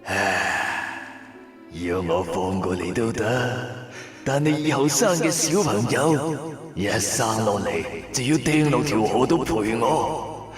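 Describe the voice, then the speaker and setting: Menacing wildcat water demon, performed by a man, slow and drawn-out, for supernatural radio drama. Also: lowish voice, roomy sound, long reverb tail.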